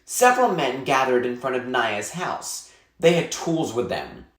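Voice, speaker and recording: A man narrating a children's novel aloud in an expressive, measured storytelling style with clear phrasing. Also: mid-range voice, roomy sound.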